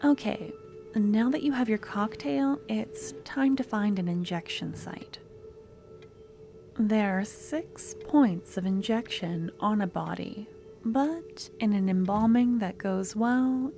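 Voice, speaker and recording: A woman playing a sweet but obsessive young student stalker in an ASMR audio roleplay, soft, coaxing and a little awkward as she talks to the listener. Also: mid-range voice, lively intonation, slow pace, faint music behind. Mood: soft, instructive.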